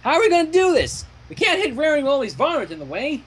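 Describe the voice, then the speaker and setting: A plucky cowgirl pony, put on by a man, talks in a raised, lively pitch. She is blunt and folksy, a storybook farm mare with no patience for nonsense. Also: fast talker, exaggerated voice. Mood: worried, urgent. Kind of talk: amateur fan fiction dramatic reading.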